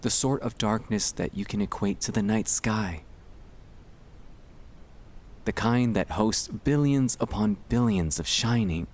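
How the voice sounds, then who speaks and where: A man speaking in a gentle, soothing and reassuring way, guiding relaxation in an ASMR style with pauses between short phrases. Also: lowish voice. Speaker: ASMR sleep-aid performer.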